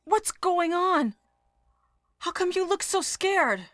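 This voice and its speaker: A woman's voice: very high-pitched, expressive, slow pace, a bit bright, dry recording, clean studio sound, full character voice. An actress playing an earnest, worried young female rescue operative in a 1990s video game, with stiff, over-enunciated delivery. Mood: puzzled, uneasy.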